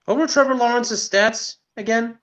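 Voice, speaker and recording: A man's voice: extremely high pitch, moderate pace, a bit bright. A man co-hosting a sports podcast, chatting casually and chiming in with short comments. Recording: dull, lo-fi audio.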